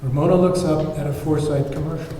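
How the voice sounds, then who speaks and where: Guy reading script directions, soft dark tone, huge echo, far from the mic. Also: fairly high pitch, fairly even intonation, fast. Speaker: table read narrator. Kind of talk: scripted narration of screenplay. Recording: very echoey hall, distant mic, faint noise.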